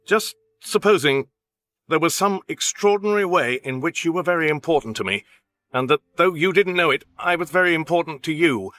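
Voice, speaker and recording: An actor playing an awkward, flustered Englishman in love in a radio comedy, halting, earnest and exasperated. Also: fairly high pitch, animated, fairly bright tone, no echo. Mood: earnest, nervous. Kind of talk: radio comedy drama acting.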